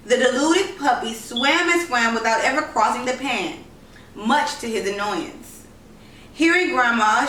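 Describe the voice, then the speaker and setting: A woman's voice: mid-range voice, fairly bright tone, far from the mic. A woman narrating a literary short story, reading aloud from the text. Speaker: story reader. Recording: roomy echo, noisy, off-mic home recording.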